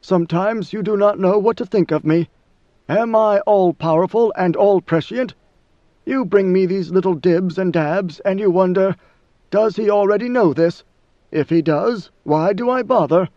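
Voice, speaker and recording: A man voicing a cold, commanding ancient ruler in a measured, lofty way. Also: high-pitched, no echo, muffled recording, natural voice. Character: ancient imperious male god-emperor, coldly commanding.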